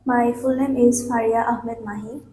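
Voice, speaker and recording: A young woman from Bangladesh answers exam questions in a mellow, slightly high voice, pausing on fillers and restarting her sentences. She sits in a small office room and is picked up by a distant camera mic. The room is echoey, with a faint hiss underneath. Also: flat delivery. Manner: hesitant, many fillers and restarts. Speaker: IELTS mock test candidate. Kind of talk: answering speaking test questions.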